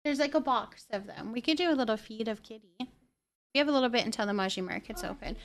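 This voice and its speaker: Female live stream host, fairly high register, chatty and informal, voices thoughts as they come. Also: expressive, fast. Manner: chatty, thinks aloud. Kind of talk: casual live game stream chat.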